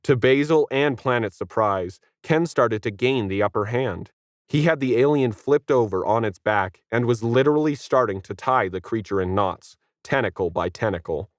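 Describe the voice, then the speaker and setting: A man's voice: mid-range voice, lively intonation, normal speed, no room sound, clean studio sound. A man reading scripted fiction as a story narrator.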